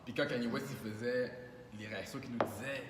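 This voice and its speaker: A man's voice: medium pitch, brisk, reverberant, in a large hall, very noisy. Quebec French man hosting a podcast. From Montreal, Quebec. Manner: relaxed, mixes in English phrases. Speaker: podcast host. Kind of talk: casual chat.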